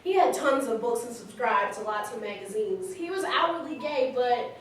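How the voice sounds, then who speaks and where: In a very echoey room, far from the mic, a woman plays a frank, affectionate grown daughter. Her voice is high and lively as she chats through family memories in a staged reading, amused and candid. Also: normal speed, lightly acted. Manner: chatty, animated storytelling, often laughing. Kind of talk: staged reading, conversational monologue and dialogue. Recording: very echoey hall, distant mic, faint noise.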